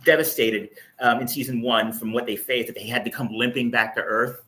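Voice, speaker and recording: Fast-talking man with a bright voice. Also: medium pitch, fairly even intonation. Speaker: guest panelist. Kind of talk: casual enthusiastic fan chat.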